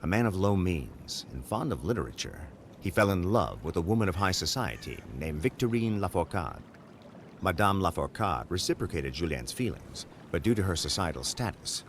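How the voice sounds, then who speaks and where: A man playing a composed, formal gentleman detective in a video game, with old-fashioned measured phrasing. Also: low voice, a little hiss or hum. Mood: measured, explanatory. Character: gentlemanly male detective companion, composed and formal, quick to alarm. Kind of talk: video game character acting.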